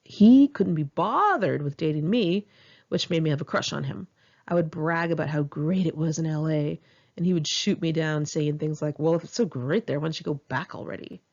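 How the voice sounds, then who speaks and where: A woman with a fairly low voice reads her memoir aloud in an informal audiobook style. She is candid and wry, slipping into chatty, self-mocking asides. The recording is somewhat muffled and lo-fi. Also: normal speed, a little mellow. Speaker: author reading own memoir. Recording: dull, muffled lo-fi home recording.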